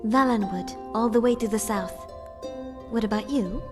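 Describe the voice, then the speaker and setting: A woman voicing a gentle, wistful young wood elf archer in a video game, speaking politely and with measured care. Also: on the high side, music in the background, close to an everyday voice. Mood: polite, curious. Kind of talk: scripted video game dialogue.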